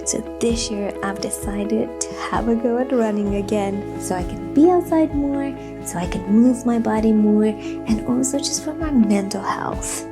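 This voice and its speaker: A woman's voice: a little high, measured pace, music in the background. A woman from Romania giving a chatty, personal lifestyle vlog voiceover. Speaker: lifestyle vlogger.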